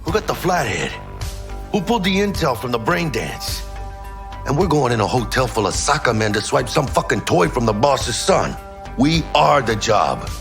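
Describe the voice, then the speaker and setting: An excitable young street mercenary in a video game. His pitch swings freely as he talks in cocky slang. Loud music plays behind him. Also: normal speed. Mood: indignant.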